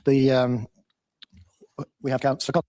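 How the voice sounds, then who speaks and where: A man chairs a council meeting, briefly thanking each speaker before calling the next. His voice is mellow, and it sounds dry and close. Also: medium pitch, flat delivery, moderate pace, no room sound. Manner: brief, formal, hesitant.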